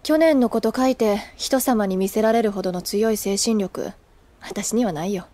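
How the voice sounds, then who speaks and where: A woman's voice: medium pitch, moderate pace, dark tone, lightly acted. An actress playing a candid older schoolgirl in an anime audio drama, languid and teasing with a wistful streak. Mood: candid, calm.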